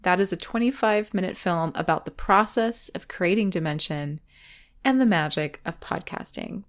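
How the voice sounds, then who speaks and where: A woman podcast host speaking in a reflective scripted monologue, with measured phrasing and deliberate pauses. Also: lowish voice, animated. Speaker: podcast host, audio drama creator.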